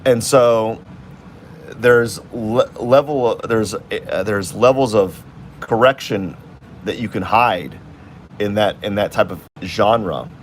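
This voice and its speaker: A man's voice: on the low side, slow pace, a little hiss or hum. A man streaming live as he works on a drawing, thinking aloud in a rambling, casual way with many restarts. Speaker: artist streaming while drawing.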